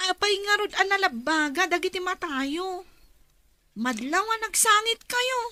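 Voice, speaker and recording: A woman's voice: extremely high pitch, animated, unhurried, bright, forceful tone, no room sound, full character voice. A woman acting in an Ilocano radio drama as a concerned young daughter from a troubled home. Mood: worried.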